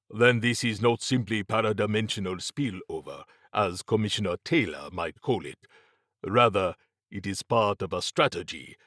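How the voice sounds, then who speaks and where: A man voicing a grave, courteous, learned occult scholar in an audiobook, speaking in a formal, measured, portentous way. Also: lowish voice, no echo, studio quality. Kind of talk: audiobook character dialogue.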